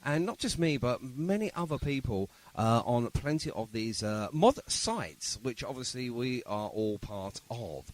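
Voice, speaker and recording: Man podcast host with a lively, swooping delivery. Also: medium pitch, unhurried, hardly any pauses, dry recording. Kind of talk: casual interview chat.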